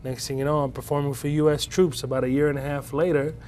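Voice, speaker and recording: A man in his thirties, a stand-up comedian, telling his story in an interview, lively and acting out what people said. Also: fairly high pitch, quick pace, a little hiss or hum. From United States. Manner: animated storyteller, acts out quoted voices.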